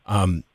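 An American man, a podcast guest, telling his personal story in conversation in a measured, deliberate way with pauses. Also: fairly bright tone, no echo, studio quality.

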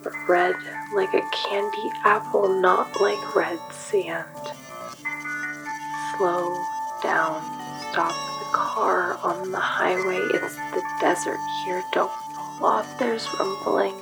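An actress playing a haunted young woman murmuring dreamlike visions in a breathy whisper, in an audio drama. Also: fairly low pitch, fairly even intonation, over loud music, rough recording. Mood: trancelike. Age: young adult. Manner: hushed, slow, trancelike. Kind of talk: whispered inner monologue.

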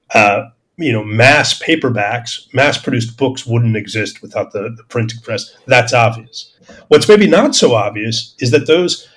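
Man talking in halting academic style. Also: mid-range voice. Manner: halting, searching for words, repeating phrases; academic, discursive, emphatic on key words. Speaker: podcast host. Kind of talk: extended intellectual discussion, answering listener questions.

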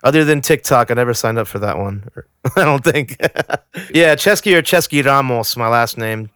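A relaxed man in his thirties from the Bay Area talks as a podcast guest. His voice is smooth and resonant and sits at an ordinary pitch. He often trails off and restarts, and the sound is close and dry. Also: moderate pace, dry recording. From San Francisco Bay Area. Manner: laid-back, trails off and restarts, laughs easily. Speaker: guest, rapper. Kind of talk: casual interview chat.

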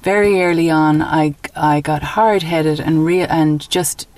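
A woman with an Irish accent speaks in a low voice. She is a guest in a conversational interview, sharing personal stories in an informal way. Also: a little slow, a little mellow, no room sound. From Dublin, Ireland.

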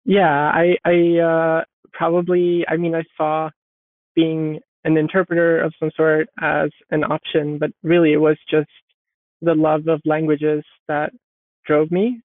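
A woman from Sweden, a software developer giving thoughtful interview answers and often restarting sentences as she looks for words. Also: low voice, level tone, a little slow, no room sound. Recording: dry, phone-like remote audio.

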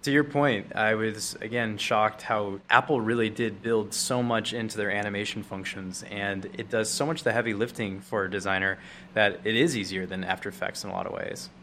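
A man's voice: on the low side, steady tone, brisk, bright, forceful tone, slight background noise. A man hosting an interview, asking questions and offering thoughtful comments. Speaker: interview host. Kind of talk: podcast interview.

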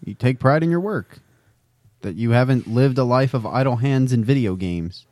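A man chatting casually as a podcast co-host, with a dry, deadpan and learned wit. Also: mid-range voice, normal speed, no room sound. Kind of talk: casual chat.

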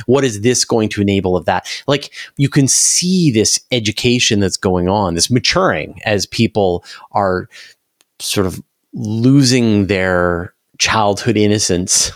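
Low-voiced man explaining keenly. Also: no room sound, clean studio sound. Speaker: interview guest, space channel creator. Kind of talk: casual interview conversation.

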